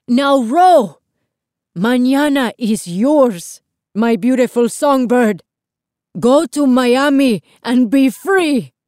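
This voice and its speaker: A woman's voice: medium pitch, a little slow, dark tone, dry recording, studio quality, lightly acted. A woman voicing an elderly Cuban grandfather in an audiobook, earnest, emotional and full of regret and tender resolve. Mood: urgent farewell. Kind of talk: audiobook character dialogue.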